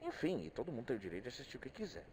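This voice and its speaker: A man's voice: mid-range voice, wildly swooping pitch, very fast, a little mellow, slight background noise. A middle-aged man with a Brazilian accent, thinking aloud in a casual, hesitant monologue where he often repeats words. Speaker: motorcyclist vlogger. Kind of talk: casual rambling monologue, personal reflections.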